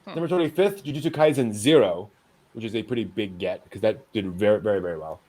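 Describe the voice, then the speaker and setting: A man's voice: fairly low pitch, fast, over a noisy crowd, rough recording. A man hosting a casual discussion of entertainment news, talking informally to others. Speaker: host.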